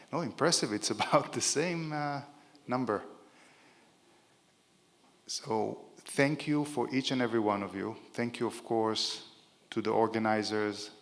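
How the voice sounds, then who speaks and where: A man's voice: medium pitch, unhurried, pauses often. A middle-aged man with an Israeli accent gives an informal, joking conference speech with many fillers to a large room. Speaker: keynote speaker. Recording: reverberant event hall.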